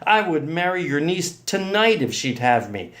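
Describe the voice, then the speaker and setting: A man's voice: fairly high pitch, very animated, unhurried. A man playing a devoted, protective male lover in a story, speaking in an earnest, reassuring way. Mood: firm, earnest.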